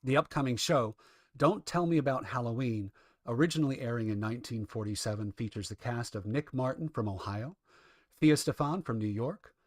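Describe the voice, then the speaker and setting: A man's voice: medium pitch, brisk, no room sound. Formal male radio show announcer, dry sound. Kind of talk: scripted introduction for an old-time radio show.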